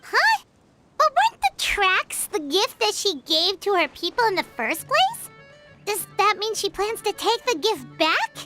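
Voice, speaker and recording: A woman voicing a small, curious, cheeky childlike fairy companion in a video game, squeaky and exclamatory. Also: unhurried, full character voice. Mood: surprised, cheeky. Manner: exclamatory, expressive. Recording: music behind the voice.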